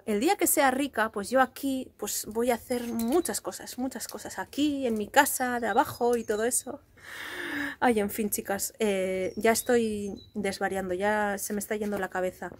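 A woman, chatting casually as she gives a house tour on a phone held at arm's length on an outdoor terrace. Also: fairly high pitch. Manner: chatty, animated, cheering on her son. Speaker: family vlogger. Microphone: handheld phone at arm's length.

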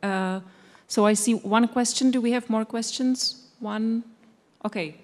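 A woman's voice: a little high, steady tone, unhurried. A woman moderating a panel discussion, speaking off the cuff in an auditorium. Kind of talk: unscripted event moderation. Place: large auditorium.

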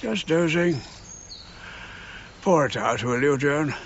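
A frail ninety-year-old archaeologist in a radio play. His high, swooping voice is slow, halting and querulous. The sound is muffled and noisy, with birdsong behind. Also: animated. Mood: drowsy. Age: 90s. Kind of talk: radio drama acting.

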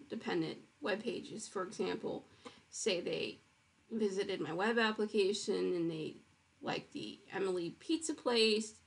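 A woman's voice: medium pitch, vocal fry, breathy edge. A woman walking through her own web project in a casual screen-recorded demo, explaining with frequent ums and restarts.

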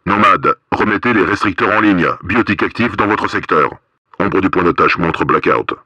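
An actor playing a stern, cold paramilitary police officer in a video game, giving terse commands through a radio filter. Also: male voice, low-pitched, moderate pace, sharp tone, breathy edge, dry recording, muffled recording, exaggerated voice. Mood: clipped reporting. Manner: terse, commanding, coded military phrases.

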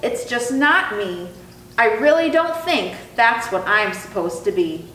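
A woman reads as a little lost storybook turtle with a high, swooping voice. He is plaintive and polite, yet insistent, in a roomy recording with faint noise. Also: sing-song, measured pace, bright, forceful tone, distant mic, exaggerated voice. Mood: politely refusing. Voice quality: tiny voice. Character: lost, tearful little baby sea turtle, male. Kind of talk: expressive children's storybook character reading.

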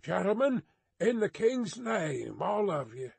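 Man acting a gruff, high-pitched, threatening coach guard. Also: big pitch swings, slow pace, a bit bright, no echo, full character voice. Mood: commanding, threatening. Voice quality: gruff, growling. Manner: rustic old-fashioned speech, barking commands. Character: gruff, suspicious armed mail-coach guard, threatening and loud.

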